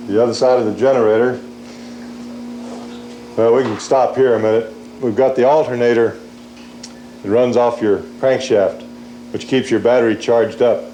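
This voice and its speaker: A man's voice: mid-range voice, dark tone. A man giving a plain, folksy, hands-on instructional demonstration as a maintenance worker for a training film. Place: generator room in a pump house. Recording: echoey room, faint hum, 1980s training film.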